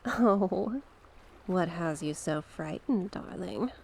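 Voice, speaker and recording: A woman's voice: lowish voice, lively intonation, slow, vocal fry, a little hiss or hum, exaggerated voice. A woman playing a mysterious sea witch in an ASMR roleplay, coaxing and sly, speaking with genteel courtesy and terms of endearment. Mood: gently probing.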